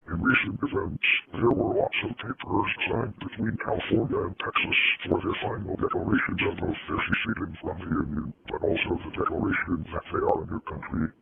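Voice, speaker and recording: A man acting as a grim emergency news announcer with a very gravelly, low voice. He reads a formal scripted bulletin with lively swings of pitch, through a tinny radio-like band. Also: fairly quick, noisy background, heavily acted.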